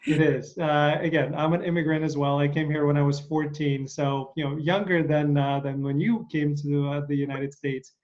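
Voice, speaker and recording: A man from Ukraine, hosting an interview and asking questions in a halting way, full of fillers. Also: on the high side, flat delivery, a little mellow, highs cut off. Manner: halting, many fillers, encouraging. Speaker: interview host. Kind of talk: casual interview.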